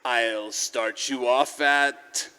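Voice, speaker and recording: Pompous grasping old tycoon, drawn out words, hard edge, 1940s radio drama. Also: male voice, mid-range voice, fairly even intonation, extremely slow, sharp tone, clear, resonant tone. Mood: scheming. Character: scheming, domineering old tycoon, contemptuous and grasping. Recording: live church hall, long reverb.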